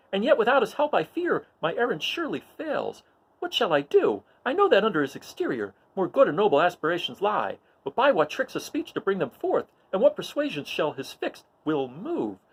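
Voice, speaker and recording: A man's voice: high voice, gravelly, slightly rough, voice pushed up, full character voice. A man voicing an earnest, fervent young rebel hero in a declamatory Shakespearean storybook reading. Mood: troubled, scheming.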